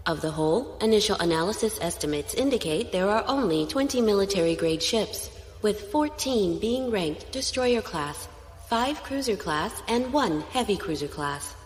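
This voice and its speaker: A woman's voice: medium pitch, expressive, moderate pace, close to an everyday voice. A woman playing a dutiful squire who gives precise, formal sensor reports in a science fiction audio drama. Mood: clinical, formal. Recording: echoey, long reverb on voice.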